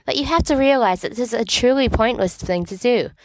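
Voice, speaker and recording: A woman's voice: on the high side, fairly quick, no echo, voice pushed up, lightly acted. A woman playing an earnest, bookish teenage boy in a storybook reading, speaking in a precise, reproachful way. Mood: exasperated protest.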